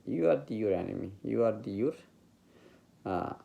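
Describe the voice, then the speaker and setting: A man with a Bengali accent, speaking English as a later language, giving an informal spiritual talk about meditation, halting and searching for words. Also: lowish voice, expressive, creaky, lo-fi sound. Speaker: meditation teacher.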